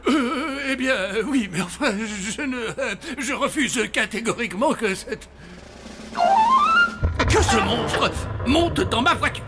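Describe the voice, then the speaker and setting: An actor playing a cowardly, flustered, lovesick man who stammers and whines in a French animated cartoon. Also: very high-pitched, unhurried, loud music behind the voice, full character voice. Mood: panicked, reluctant. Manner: stammering, whiny, pompous.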